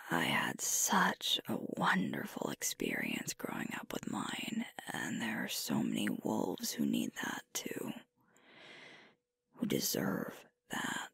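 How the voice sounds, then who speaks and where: Breathy, whispering young werewolf girlfriend, acted by a woman. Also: rumbling low, a bit bright, dry recording, full character voice. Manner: slow, tender, hesitant, with pauses. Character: sweet, earnest young female werewolf pack leader girlfriend. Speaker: ASMR roleplay performer. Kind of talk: intimate ASMR girlfriend roleplay. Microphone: close microphone, dry.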